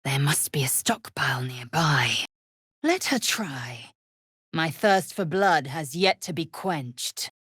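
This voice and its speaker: A fierce alien warrior woman from a video game. Her voice is low and rough-edged, recorded close and dry. She speaks in clipped, formal phrases with proud disdain. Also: lively intonation, slow, a bit bright, no echo, heavily acted. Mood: hushed. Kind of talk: video game character voice lines.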